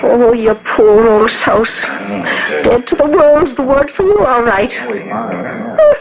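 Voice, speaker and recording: A high-pitched, rambling old Irish cleaning woman in an old radio drama. She is pious, folksy and given to lamenting. The sound is dull, with a murmuring crowd behind. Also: slow. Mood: wry, sympathetic. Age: older.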